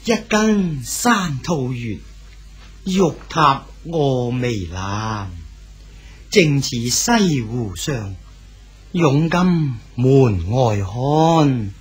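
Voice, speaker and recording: An actor with a Cantonese accent playing an aging exiled male poet, gentle and fatherly in a radio drama. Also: medium pitch, big pitch swings, extremely slow, slightly rough, heavily acted. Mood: calm. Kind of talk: 1980s Hong Kong radio drama acting.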